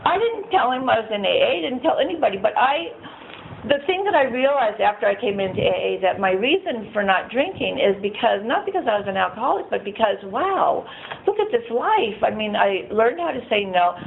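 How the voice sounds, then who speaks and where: Soft-voiced woman with tinny gravelly sound. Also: fairly high pitch, very animated, fairly quick. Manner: shy, rambling, laughs often, acts out quoted voices. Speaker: recovery meeting speaker. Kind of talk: personal recovery story. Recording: tinny phone-like, faint noise.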